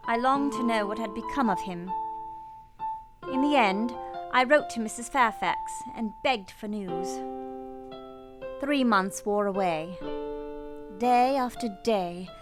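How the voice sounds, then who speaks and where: A woman playing a sorrowful but resolute young governess, telling her story in an earnest, measured, formal way for a radio play. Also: a little high. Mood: wistful. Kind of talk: first-person dramatic narration in a radio play. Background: piano music.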